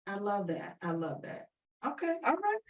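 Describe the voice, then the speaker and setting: A woman's voice: medium pitch, wildly swooping pitch, moderate pace, recorded from a distance, muffled recording. A woman hosting an online radio show, chatting with guests and listeners in an encouraging, affirming way and often repeating phrases. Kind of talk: warm-up chat interview, addressing listeners directly.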